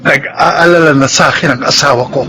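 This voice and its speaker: An actor playing a gentle but firm married man in a Filipino radio drama, measured and apologetic. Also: a little high, over loud music, close to an everyday voice.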